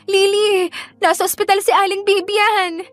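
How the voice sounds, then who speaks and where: A woman voicing an alarmed female friend in an audiobook drama, urgent and nearly shouting. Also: extremely high pitch, little pitch movement, a little slow, no room sound, soft background music, pitched above the performer's own voice. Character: alarmed female friend calling on the phone, frantic.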